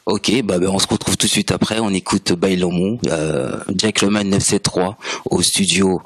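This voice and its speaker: A male radio host interviewing an artist in the studio, halting and full of fillers as he asks his questions. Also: low-pitched, fairly even intonation, fairly bright tone, husky, no room sound. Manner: halting, many fillers and restarts.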